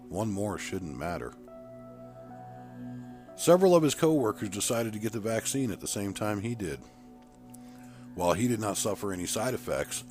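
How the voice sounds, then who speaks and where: A man with a very low voice narrates a written story in a steady, even reading tone. Soft background music runs faintly underneath.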